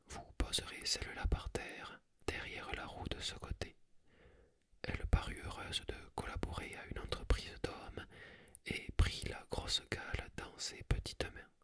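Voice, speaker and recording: Slow, whispered story reading. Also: bright, forceful tone, dry recording, clean studio sound. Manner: whispers throughout, measured and deliberate. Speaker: storybook reader. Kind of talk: whispered ASMR-style audiobook reading of a literary story.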